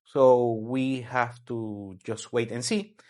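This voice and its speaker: Guy from venezuela doing a weekly news rundown, slow and halting, mid-pitch voice. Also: steady tone, darkish tone. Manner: halting, searching for words as he explains. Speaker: host.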